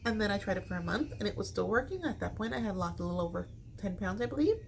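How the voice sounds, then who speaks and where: A woman's voice: medium pitch, animated, fast talker, gravelly. Casual American woman vlogging over background noise. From Chicago, United States. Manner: rambling, informal, slangy. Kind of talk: casual chat to camera.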